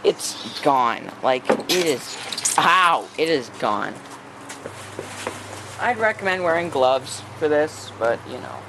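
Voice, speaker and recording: A man's voice: on the high side, lively intonation, slow. Chatty man restoring old radios, noisy room. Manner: thinks aloud while working, chatty asides. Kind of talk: casual workshop narration. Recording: noisy workshop recording.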